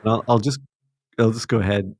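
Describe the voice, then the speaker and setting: A Scottish man hosting a live psychic reading, chatty and upbeat, slowing down gently when passing on messages. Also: lowish voice, steady tone, no room sound, studio quality. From Scotland. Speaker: psychic reader and co-host.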